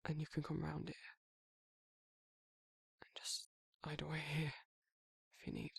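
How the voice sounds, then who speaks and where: A man's voice: a little high, monotone, a little slow, fairly bright tone, no echo, full character voice. A man playing a protective, awkward werewolf in an intimate ASMR roleplay, speaking breathily and hesitantly, often near a whisper. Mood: gently reassuring. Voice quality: husky, breathy, gravelly, often dropping to a whisper. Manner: hesitant, rambling, bashful, informal. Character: protective, awkward, soft-hearted male werewolf confiding in a friend.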